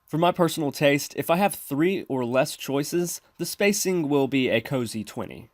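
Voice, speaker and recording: A man's voice: fairly high pitch, animated, moderate pace, no echo. Man narrating a tutorial, dry close sound. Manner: methodical, step-by-step explaining. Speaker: tutorial presenter. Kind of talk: scripted instructional narration. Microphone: close mic, screen-recording voice-over.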